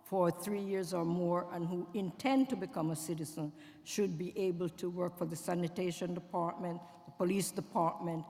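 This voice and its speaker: A woman commissioner speaking at a public hearing in a conversational, slightly halting way. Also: fairly low pitch, mellow. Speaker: commissioner, former city council member. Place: public hearing in a school building. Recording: long reverb of a hearing hall.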